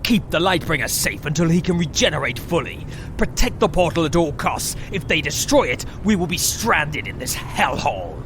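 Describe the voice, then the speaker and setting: A man's voice: sing-song, moderate pace. An actor playing a commanding, contemptuous male leader of invaders in an audio drama. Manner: commanding, imperious. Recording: noisy background rumble.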